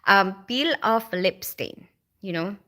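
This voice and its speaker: Woman, Filipino accent, bright clear voice, casual livestream chatter, lots of fillers, reading comments aloud. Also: mid-range voice, animated, slow pace. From Philippines. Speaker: livestream host.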